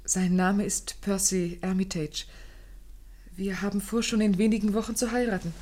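A woman acts out a well-bred young lady in a radio drama. Her medium-pitched voice is earnest and formal, carefully articulated, with an anxious undertone. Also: level tone, normal speed, dark tone, a little hiss or hum, close to an everyday voice. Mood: composed, formal. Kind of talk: German radio play acting.

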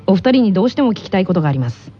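Calm schoolboy anime character, played by a woman, lowered pitch, polite and measured radio hosting, slightly muffled sound. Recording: slightly dull radio recording, faint noise.

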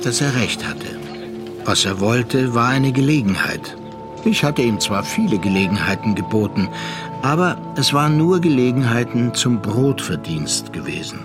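Radio play narrator: seasoned seafaring man, mid-pitched, reflective, measured delivery, music underneath. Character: seasoned male seafarer recounting a troubled younger friend's story.

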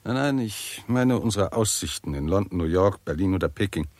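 An actor playing a weary, irritable and pessimistic scientist in a 1960s German radio drama, husky and articulate, with a sardonic, argumentative manner. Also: male voice, deep voice, expressive, moderate pace, darkish tone. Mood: gloomy.

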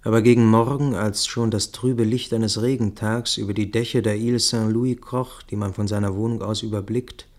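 Measured actor as a grave male witness. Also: lowish voice, fairly even intonation, a little mellow, lightly acted. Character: grave male witness recounting a deadly night attack. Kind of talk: literary radio play narration, first person.

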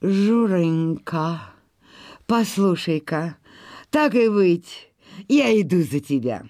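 A woman's voice: on the low side, lively intonation, slow, mellow, dry recording, clean studio sound. A woman voicing a haughty, fickle heron with a disdainful, folksy manner in an animal tale. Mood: coaxing. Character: haughty, fickle female heron, scornful and proud.

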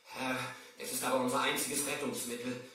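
A man's voice: mid-range voice, little pitch movement, fairly quick, sharp tone. A man playing a loyal, devout and hopeful manservant, earnest and deferential, in a 1970s children's radio play. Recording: very echoey, distant vinyl radio-play transfer.

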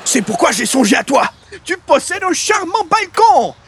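An actor playing an excitable, grandiloquent male huckster with pompous, florid sales patter in a comic radio play. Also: very high-pitched, moderate pace, a little hiss or hum, full character voice. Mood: wheedling. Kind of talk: comic radio play acting in old-time sitcom format.

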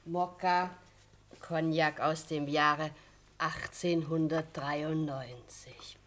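A housekeeper in a radio drama, really slow, low voice, formal announcing. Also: female voice, fairly even intonation, a bit bright, slight background noise, exaggerated voice. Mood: ceremonious. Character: female housekeeper serving dessert, unhurried, formal.

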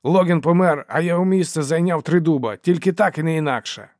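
A man's voice: a little high, fast talker, a little mellow, no echo, clean studio sound, voice pushed up, lightly acted. A man voicing a wary warrior scout in an audiobook, urgent and exasperated with his quarrelling comrades. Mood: exasperated urging.

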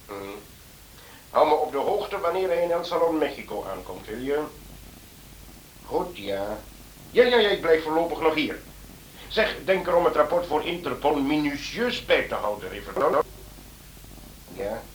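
An actor playing an authoritative, businesslike senior police official in a radio drama, speaking in formal, deliberate phrases. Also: male voice, on the high side, big pitch swings. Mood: brisk, commanding. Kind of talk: Dutch-language radio drama acting. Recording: noisy old radio drama recording.

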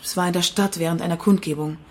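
Actress as anxious lowish-voiced banker's wife. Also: female voice, fairly even intonation, darkish tone, a little hiss or hum, close to an everyday voice. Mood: hesitant, evasive. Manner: hesitant, halting. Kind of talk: German crime radio drama acting.

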